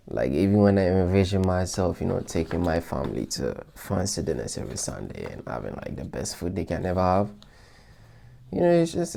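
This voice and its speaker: A man with a very low, gravelly voice and a dark, mellow tone talks straight to his followers. He is reflective and loose, restarting his sentences and leaning on fillers as he thinks out loud. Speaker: vlogger. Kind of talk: casual motivational talk to followers.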